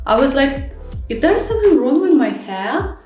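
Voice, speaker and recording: A woman's voice: high voice, big pitch swings, moderate pace, a little mellow. Chatty Ukrainian-accented young woman vlogging. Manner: chatty, frank, non-native grammar with many fillers. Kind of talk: casual vlog monologue. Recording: echoey room with faint music.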